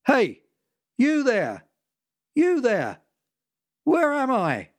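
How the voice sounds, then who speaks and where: A man voicing a lost, brash power boater who shouts demands. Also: very high-pitched, huge pitch swings, extremely slow, voice pushed up, exaggerated voice. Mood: demanding.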